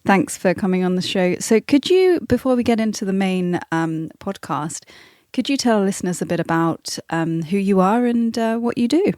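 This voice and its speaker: A woman's voice: medium pitch, animated, normal speed, darkish tone. A woman hosting an interview podcast, encouraging in manner. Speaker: podcast host. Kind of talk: interview with scripted introduction.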